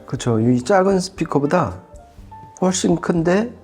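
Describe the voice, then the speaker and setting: A man with a soft, dark tone talks through a product review in a conversational way. He explains his points with casual emphasis, over music. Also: fairly high pitch, expressive, unhurried. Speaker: audio product reviewer on a shop's show.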